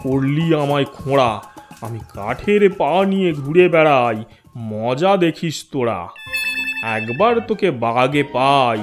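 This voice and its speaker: A man voicing a vengeful one-legged bear in a Bengali fairy-tale cartoon, with a menacing, drawn-out delivery. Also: mid-range voice, expressive, over music, close to an everyday voice. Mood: angry, vengeful.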